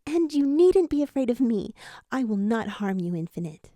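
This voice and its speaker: A woman playing a gentle, caring female ghost in a story, with a reassuring, soft-hearted manner. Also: on the high side, very animated, normal speed, no room sound, studio quality.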